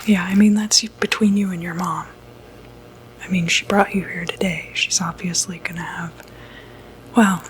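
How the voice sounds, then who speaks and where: A woman playing a gentle, reassuring doctor in an ASMR medical roleplay, speaking in a breathy, soothing whisper. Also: lowish voice, a little hiss or hum, poor audio. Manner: soothing, unhurried, hesitant phrasing. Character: gentle, reassuring doctor who treats demonic possession.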